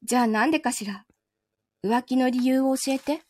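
High-voiced, menacing possessive girlfriend. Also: normal speed. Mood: possessive, probing. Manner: calm interrogating, softly taunting, sometimes whispering. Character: possessive, coolly menacing wronged girlfriend holding her lover captive. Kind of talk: Japanese audio drama acting.

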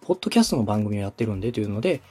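A man hosts and coaches in a conversational podcast. His voice is husky and mid-pitched, with an animated melody. He explains each point politely and methodically, with faint music behind him. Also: very fast. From Osaka, Japan. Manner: polite, instructive. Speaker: podcast host and coach, radio director. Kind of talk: consulting conversation, explaining step by step.

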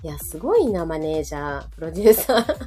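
A woman's voice: deep voice, very animated, fast, warm tone. A middle-aged woman with a Kansai accent, talking chattily and informally as a podcast co-host. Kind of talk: casual chat on a live podcast. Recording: background music under the talk.

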